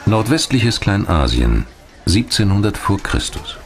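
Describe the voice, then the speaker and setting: Man, deep low voice, documentary narrator, scripted reading, measured and deliberate delivery. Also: sing-song, a little hiss or hum.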